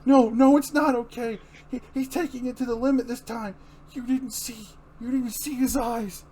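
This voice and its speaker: Man putting on a high-pitched distraught young woman. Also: fairly even intonation, normal speed, warm tone. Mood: frightened, pleading. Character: distraught young injured woman, panicked and pleading. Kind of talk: amateur dramatic comic book reading.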